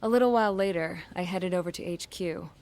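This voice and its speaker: A woman's voice: on the low side, brisk, lightly acted. An actress playing a cocky, resourceful young prisoner, speaking casually and wryly in a game cutscene, with noise behind her. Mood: wry, confident. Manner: casual, slangy, wry. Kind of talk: video game cutscene narration and dialogue.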